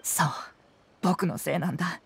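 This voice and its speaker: A woman voicing a clever, composed young male detective in a game, with polished, measured, theatrical delivery. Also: on the low side, fairly even intonation, gravelly, slightly rough, no room sound, full character voice. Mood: sheepish. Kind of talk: Japanese game voice acting.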